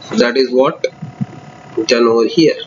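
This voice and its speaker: A male lecturer walks through a technical diagram at a mid pitch. He reads formal sentences and then drifts into looser remarks of his own. The recording sounds dull and lo-fi, with a faint noise underneath. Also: fairly even intonation, unhurried. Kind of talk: explaining a block diagram.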